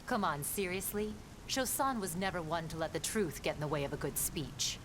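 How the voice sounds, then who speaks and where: A loyal female soldier, acted by a woman in a video game, speaking in a lowish voice. She is earnest, respectful and plain-spoken, with clear rumbling noise behind her. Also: expressive, moderate pace, lightly acted. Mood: wry, plain-spoken. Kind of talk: video game cutscene dialogue. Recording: game audio over rumbling background noise.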